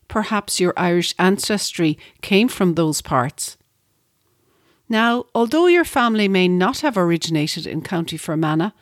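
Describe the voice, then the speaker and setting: A woman co-host narrating a scripted history segment at a steady, measured pace. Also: on the low side, no echo, studio quality. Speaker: podcast co-host.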